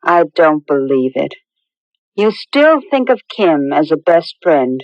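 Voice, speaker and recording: A knowing, doubtful young fiancée in a radio drama. Her voice is lowish and very expressive, with a careful, coy delivery. The recording is a bit dull. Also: slow pace, dry recording, full character voice. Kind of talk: old-time radio drama acting.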